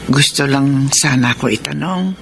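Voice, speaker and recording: An actress playing a gentle, worried middle-aged mother in a Filipino radio drama, speaking in a halting, hesitant way. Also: a bass voice, gravelly. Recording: noisy radio drama audio.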